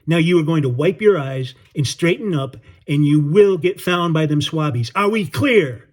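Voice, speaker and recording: A man voicing a tough, blunt sailor with a New York accent and slangy, gruff talk. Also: mid-range voice, fairly even intonation, quick pace, dark tone, close to an everyday voice. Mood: angry scolding.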